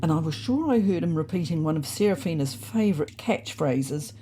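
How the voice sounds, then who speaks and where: A woman narrating a storybook diary as a fussy, indignant male house cat, in a wry, prim, deadpan manner. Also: deep voice, fairly quick, slightly rough. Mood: wry, matter-of-fact. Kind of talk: first-person storybook narration.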